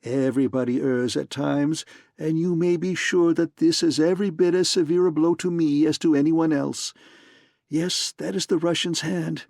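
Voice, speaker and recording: A man voicing a jovial, complacent fat gentleman with a throaty purr. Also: fairly high pitch, fairly even intonation, moderate pace, vocal fry, dry recording, studio quality, pitched above the performer's own voice. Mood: genial. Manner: throaty purring, genial, formal.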